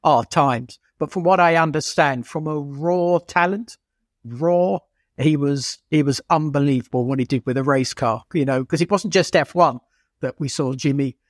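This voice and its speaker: Higher-pitched man in casual racing chat. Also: moderate pace, no room sound, studio quality. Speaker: podcast co-host.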